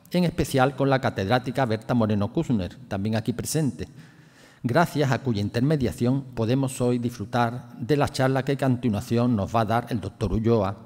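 A man introduces a guest speaker, reading out his bio and adding small offhand asides. His voice sits at a middle pitch, and the hall leaves a long reverb behind his words. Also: darkish tone. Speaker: presenter of the speaker. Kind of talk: formal introduction. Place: lecture hall with audience. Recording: hall reverb from a lecture room.

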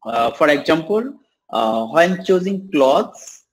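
A young man from Bangladesh, practicing English on a video call with many pauses and fillers. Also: a little high, very animated, a little hiss or hum, rough recording. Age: young adult. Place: small room indoors.

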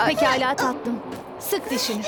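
A woman voicing a brisk, concerned doctor in a children's cartoon dub, with an emphatic way of speaking. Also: high voice, animated. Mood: reassuring. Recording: loud music behind the voice.

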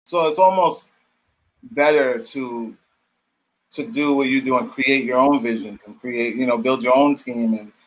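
A man in his thirties hosting a casual video-call interview in a halting way, often repeating words. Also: mid-range voice, poor audio. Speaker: interview host. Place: dim home studio room, video call.

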